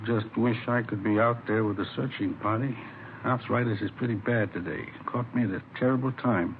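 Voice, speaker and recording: An actor playing a worried, ailing grandfather in his late sixties, plaintive and halting, in vintage radio drama style. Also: fairly low pitch, close to an everyday voice. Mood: anxious. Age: 60s. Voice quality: creaky, gravelly. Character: worried, ailing 68-year-old grandfather of missing boy. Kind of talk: 1950s radio drama acting. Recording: tinny, hissy old radio recording.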